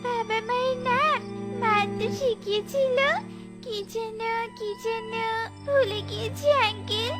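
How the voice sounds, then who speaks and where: Woman voicing a little lost cub girl, cartoon, tearful, very high-pitched, music under. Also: steady tone, unhurried. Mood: tearful, pleading. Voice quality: high childlike voice. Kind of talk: cartoon voice acting.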